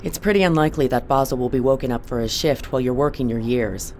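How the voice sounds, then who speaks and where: A woman playing a composed, authoritative spaceship captain in an audio drama, measured and businesslike. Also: very deep voice, darkish tone, a little hiss or hum. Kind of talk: naturalistic audio drama acting.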